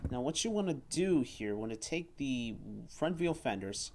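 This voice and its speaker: A man reviewing and demonstrating a toy at a table indoors, talking haltingly and often repeating words and phrases. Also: medium pitch, sing-song, very noisy.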